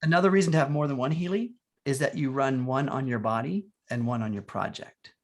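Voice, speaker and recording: A man calmly explaining how to use a wellness device on a group video call. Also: mid-range voice, fairly quick. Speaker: guest expert.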